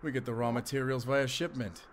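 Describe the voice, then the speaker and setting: A man plays an underworld operations manager with a mid-pitched male voice. He is eager and polite to his superiors and explains things in a businesslike way, in an audio roleplay with faint background noise. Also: steady tone, a little fast, natural voice. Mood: explanatory. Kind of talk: roleplay audio drama acting.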